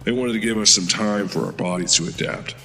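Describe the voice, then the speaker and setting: Casting: a gruff-calm veteran super-soldier, low voice, audio drama over soft music. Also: male voice, a little fast. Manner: steady recounting, low-key storytelling. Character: Major Liberty, veteran male super-soldier recounting his past.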